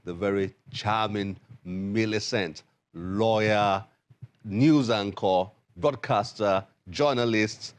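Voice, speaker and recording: A man's voice: lowish voice, slow pace. A man presenting on television, introducing a guest with emphatic, playful build-up. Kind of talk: upbeat scripted presenter introduction.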